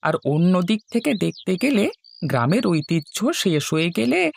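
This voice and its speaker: A woman's voice: lowish voice, sing-song, a little slow, creaky, slight background noise, exaggerated voice. A woman voicing an affectionate, hearty village father and farmer in a cartoon. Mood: glad, earnest. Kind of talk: Bengali cartoon dubbing.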